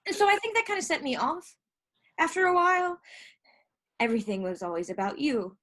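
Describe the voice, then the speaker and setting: High-voiced, hurt younger sister, acted by a woman. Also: very animated, fairly bright tone. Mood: subdued, hurt. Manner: halting, emotional, profane. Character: hurt, insecure younger sister fresh out of rehab. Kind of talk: stage play acting. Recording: live stage recording.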